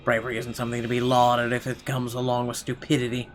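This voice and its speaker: A man reads a visual novel aloud as a dramatic first-person narrator. His voice sits at an ordinary male pitch, with music playing behind him. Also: monotone, fast talker.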